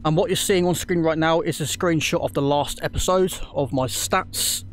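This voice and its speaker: A man's voice: fairly high pitch, moderate pace, slight background noise. A man giving casual let's-play commentary, rambling with lots of ums and restarts, recovering from a throat infection. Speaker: gaming YouTuber.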